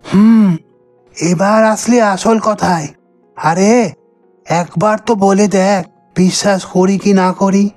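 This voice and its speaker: A woman's voice: mid-range voice, fairly even intonation, slow, long pauses, darkish tone. A woman playing a concerned, coaxing old friend in a scripted cartoon story. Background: music.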